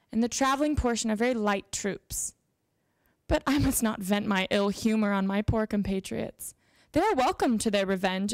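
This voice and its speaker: A young woman giving a measured, formal dramatic reading of letters aloud into a handheld microphone. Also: medium pitch, creaky, dry recording. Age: young adult. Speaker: dramatic reader at a library event. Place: indoor meeting room with audience.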